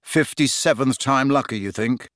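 A man's voice: medium pitch, animated, moderate pace, fairly bright tone, dry recording, clean studio sound, voice pushed up, lightly acted. A man voicing a reserved, slightly weary teenage schoolboy in an understated, wry way. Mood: bitter.

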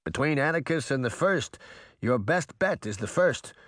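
A man's voice: mid-range voice, animated, normal speed, a bit bright, no room sound, studio quality, lightly acted. A man playing a coldly logical, slightly defensive magic mirror spirit in an audiobook, reasoning in a matter-of-fact way. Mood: coolly logical. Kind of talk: audiobook character voice.

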